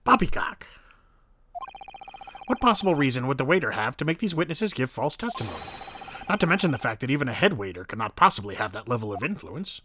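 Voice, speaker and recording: A man's voice: mid-range voice, sing-song, quick pace, pushed and bright, a little hiss or hum. A man voicing a blustering, scornful prosecutor in a courtroom video game, pompous, indignant and formal. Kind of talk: dramatic video game voice acting.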